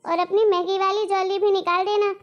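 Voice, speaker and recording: A woman voicing a greedy, bossy middle-aged mother-in-law in a Hindi cartoon drama, nagging and insistent, with a pitch-shifted voice. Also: little pitch movement, fairly quick. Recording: dull lo-fi sound.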